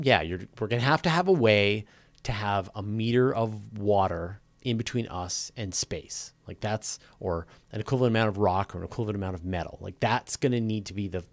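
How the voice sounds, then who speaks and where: A man's voice: lowish voice, dry recording. A man hosting a live question-and-answer stream, explaining things conversationally and thinking aloud as he stresses his key points. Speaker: host of a live space and astronomy Q&A.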